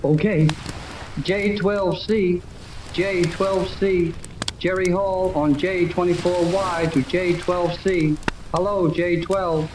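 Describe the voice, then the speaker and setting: Eager boyish radio operator, old serial. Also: male voice, high-pitched, takes it slowly. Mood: businesslike. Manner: excitable, slangy, boyish. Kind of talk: 1930s radio serial acting. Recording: noisy echoey 1930s radio transcription.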